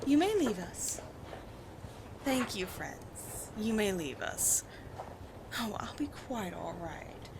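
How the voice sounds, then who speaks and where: A woman playing a haughty, commanding vampire queen in a roleplay audio drama, speaking in deliberate, theatrical phrases with disdain for humans. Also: mid-range voice, big pitch swings, noisy background. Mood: cool, curious.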